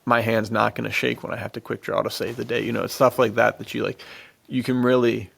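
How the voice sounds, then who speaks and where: A man podcast co-host with a gravelly, creaky voice, chatting in an informal, rambling way and often saying "dude". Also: mid-range voice, little pitch movement, fast talker, fairly bright tone. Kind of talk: casual chat about adventure fiction.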